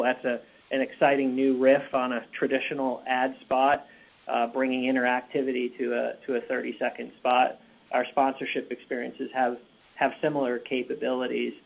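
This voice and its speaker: Gravelly, flat-toned male executive on a phone line. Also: mid-range voice, moderate pace. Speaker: company executive. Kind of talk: measured corporate answers on an earnings call. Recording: muffled phone conference line.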